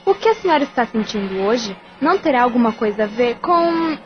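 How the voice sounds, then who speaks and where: An actress playing a gentle, caring young adult daughter who speaks softly and hesitantly. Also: female voice, fairly high pitch, expressive, brisk, dull audio, over music, close to an everyday voice. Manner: soft, hesitant.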